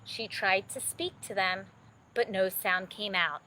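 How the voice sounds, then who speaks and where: A woman narrating a children's bedtime story expressively, with dramatic, drawn-out emphasis. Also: mid-range voice, steady tone, pushed and bright, slight background noise, rough recording.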